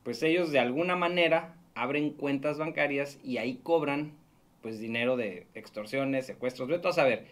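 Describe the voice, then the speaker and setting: A man's voice: medium pitch, moderate pace, fairly bright tone. A man in his thirties with a Mexican accent, explaining a loan scam on a radio show from a small studio booth. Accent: Mexican Spanish. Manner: earnest, warning, explanatory. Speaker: radio show consumer-fraud reporter. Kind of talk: radio talk segment. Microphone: studio mic on boom arm.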